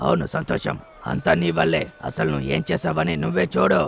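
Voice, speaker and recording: An actor voicing a bossy, hurried big brother bear in a cartoon dub. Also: male voice, on the high side, sing-song, vocal fry, full character voice. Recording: music bed under dialogue.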